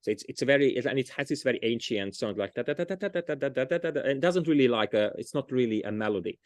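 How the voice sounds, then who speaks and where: A man's voice: mid-range voice, animated, quick pace, no echo, clean studio sound. A man with a Hungarian accent, a storyteller being interviewed, talking casually and often restarting as he searches for words. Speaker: storyteller, guest.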